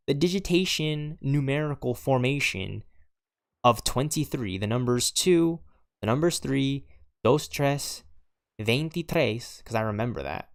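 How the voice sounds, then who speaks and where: A young man in his twenties rambles through casual commentary over his gameplay, looping back on himself with fillers and repeated words. His voice sits at a mid pitch with a gravelly, creaky edge. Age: 20s. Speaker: gaming streamer.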